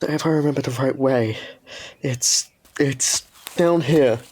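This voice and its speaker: A man's voice: mid-range voice, takes it slowly, darkish tone. A man playing an earnest, wistful Victorian boy in an audio drama. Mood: wistful, hesitant.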